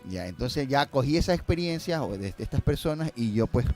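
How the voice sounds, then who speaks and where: Male guest filmmaker, mid-pitched, brisk talker, casual explainer who repeats for emphasis, music behind. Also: big pitch swings, a little mellow. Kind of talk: casual conversational storytelling and explanation.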